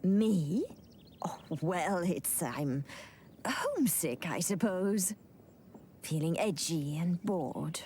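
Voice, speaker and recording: A loyal lady-in-waiting, hesitant and worried, slow, darkish tone. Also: lowish voice, lively intonation, a little mellow, slight background noise. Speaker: game character. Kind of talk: game cutscene voice acting.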